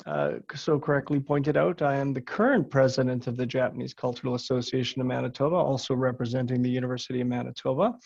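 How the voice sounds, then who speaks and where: Gravelly man on a dull, dry call. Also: medium pitch, fairly even intonation, fast, hardly any pauses, darkish tone, dry recording. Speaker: community association president, guest speaker. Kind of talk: short formal greeting speech. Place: remote online video call. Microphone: video call microphone. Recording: dull video call audio.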